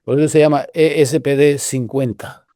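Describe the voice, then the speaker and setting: An older man from Cuba with a Cuban Spanish accent, telling stories in a relaxed, rambling way with many restarts. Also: fairly high pitch, normal speed, no room sound, studio quality. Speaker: guest researcher. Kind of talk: casual conversational storytelling.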